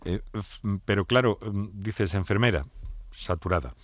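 A man's voice: on the low side, unhurried, no echo. A man hosting an interview, friendly and questioning, often breaking into laughter. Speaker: interview host. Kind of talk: conversational interview.

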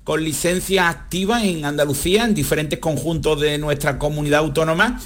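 Slightly high-voiced male radio reporter. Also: fairly even intonation, a little fast. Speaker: sports reporter. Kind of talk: formal, detailed live radio report.

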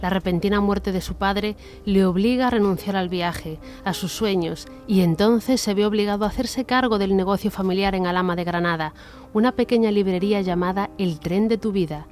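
A woman's voice: medium pitch, moderate pace, a little mellow. A woman from reading scripted storytelling narration as the narrator of a radio play. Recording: music under the voice.

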